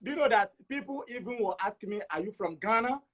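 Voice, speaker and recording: Higher-voiced Togolese man telling his story. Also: expressive, a little fast, fairly bright tone. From Togo. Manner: repeats words for emphasis, acts out quoted dialogue. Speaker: interview guest. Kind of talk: animated personal storytelling.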